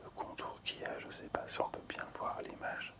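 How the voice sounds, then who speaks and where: A man hosting casual ASMR in a breathy, unvoiced whisper, hushed, hesitant and appreciative. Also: a little fast. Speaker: ASMR video host.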